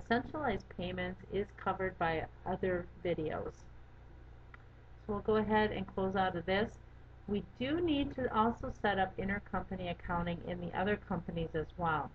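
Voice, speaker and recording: A woman giving a methodical, step-by-step software walkthrough as an instructor. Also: on the low side. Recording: dull lo-fi audio with background noise.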